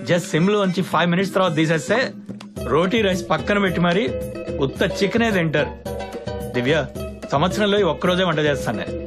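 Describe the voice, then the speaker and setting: An actor playing a bossy, boastful middle-aged husband who fancies himself a cook, with a brisk, commanding manner, in a film comedy-drama. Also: male voice, high voice, lightly acted. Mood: proudly boastful. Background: loud background music.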